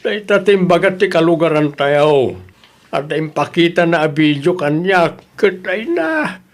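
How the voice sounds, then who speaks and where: A man acting as a stern old village father in an Ilocano audio drama. He talks very slowly in a raised pitch that swings widely, indignant, with an Ilocano Filipino accent. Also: lively intonation. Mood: indignant, grumbling.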